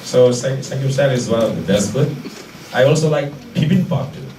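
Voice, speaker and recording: A man's voice: medium pitch, dark tone. Indian-accented man speaking in an echoey room. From Manipur, India. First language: Manipuri. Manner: halting, searching for words, repeats phrases. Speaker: guest lecturer. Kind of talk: informal public talk with audience questions. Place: indoor lecture room. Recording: echoey room, distant mic, faint noise.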